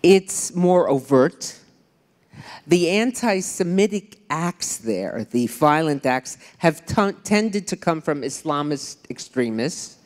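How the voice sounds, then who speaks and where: A woman's voice: deep voice, lively intonation, slow, darkish tone. Older woman historian in a reverberant hall. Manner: chatty, digressive, wry asides. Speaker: author and historian on a panel. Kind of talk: public panel discussion. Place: large lecture hall on stage. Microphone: handheld microphone.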